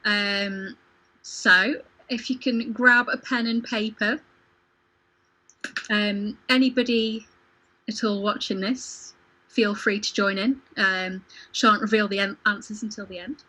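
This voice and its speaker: A woman's voice: mid-range voice, steady tone, slow pace, bright, forceful tone, poor audio. A woman running a casual quiz on a livestream as quizmaster, playful and jokey. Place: home video call.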